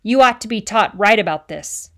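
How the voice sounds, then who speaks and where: A bigoted middle-aged island policeman, put on by a woman reading a storybook aloud, lecturing a boy in rough, ungrammatical speech. He pushes his voice loud and hard. He talks down to the boy. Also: mid-range voice, animated, moderate pace. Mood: loud, bullying. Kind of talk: audiobook character reading.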